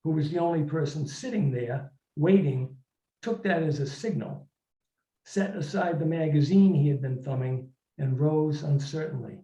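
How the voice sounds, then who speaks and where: In a roomy, slightly dull recording, a male author narrates his own literary short story. His voice is mellow. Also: fairly high pitch, moderate pace. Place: indoor room at a reading event.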